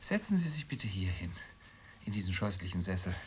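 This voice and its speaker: A man's voice: deep voice, big pitch swings, a little fast, darkish tone, slight background noise. An actor playing an irritable, sarcastic husband in a radio play, blunt and needling in his delivery. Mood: irritated, sardonic. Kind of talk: German radio play acting.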